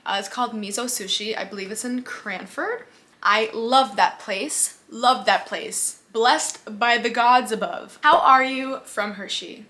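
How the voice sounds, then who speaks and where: A woman's voice: on the high side, unhurried, bright, forceful tone. A woman in her twenties from the United States, chatting casually to her camera in a question-and-answer vlog, upbeat, laughing easily and often saying "like". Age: 20s. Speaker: vlogger. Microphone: camera mic, talking to camera.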